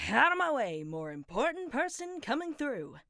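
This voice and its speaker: Girl doing a snarky dismissive cartoon rabbit guy, swings all over in pitch, a bit hoarse. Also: sing-song, takes it slowly, bright, forceful tone, no room sound, clean studio sound, full character voice. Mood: bored. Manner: sarcastic, dismissive, mocking laughs. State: sometimes hoarse and nasal from being sick. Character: smug sarcastic male cartoon rabbit. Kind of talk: fan voice acting for an animation storyboard.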